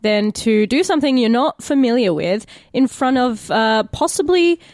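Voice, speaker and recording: A woman's voice: fairly high pitch, expressive, normal speed, a little mellow, dry recording, clean studio sound. A young adult woman with an Australian accent in casual podcast conversation, thinking aloud in loose, informal phrasing. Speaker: podcast co-host, actor.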